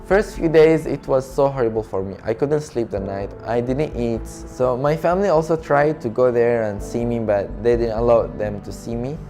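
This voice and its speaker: A young man from Syria who speaks natively tells his story as a refugee in a documentary interview, soft, halting and earnest in English. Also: mid-range voice, faint music behind. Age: young adult.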